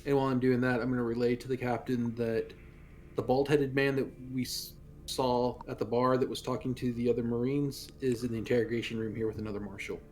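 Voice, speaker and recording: A man's voice: mid-range voice, flat delivery, a little fast, a little mellow, faint music behind. A man playing a tabletop roleplaying game, talking casually as he describes what his character does.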